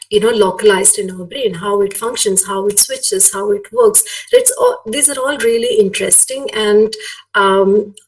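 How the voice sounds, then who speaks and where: Malayali-accented woman lecturing, slightly distant mic. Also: mid-range voice. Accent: Malayali Indian English. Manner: conversational, frequently seeks agreement with tag questions. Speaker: academic guest lecturer. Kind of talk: explanatory webinar lecture. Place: online webinar. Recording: distant microphone, online webinar audio.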